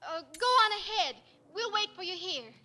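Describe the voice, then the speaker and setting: An actress playing a gentle, lovestruck young woman in an old radio drama. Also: very high-pitched, lively intonation, normal speed, full character voice. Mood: soft, inviting. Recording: old radio recording, faint vehicle noise.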